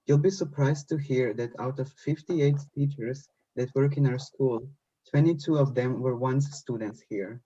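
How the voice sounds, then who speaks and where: Very mellow, dark-voiced Croatian-accented man. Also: medium pitch, unhurried, husky. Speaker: school presenter. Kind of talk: scripted presentation. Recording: muffled lo-fi audio.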